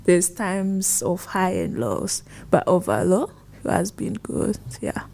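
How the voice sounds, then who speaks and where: Slow, soft-spoken young woman from Rwanda. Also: lowish voice, very animated, creaky. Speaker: guest, former foreign student. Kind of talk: conversational storytelling.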